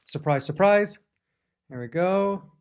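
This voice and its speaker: A man's voice: high voice, expressive, takes it slowly, vocal fry, slight background noise. A man hosting a gear review, talking in an enthusiastic, chatty way with small restarts, recorded on a shotgun microphone. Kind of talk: product review talk.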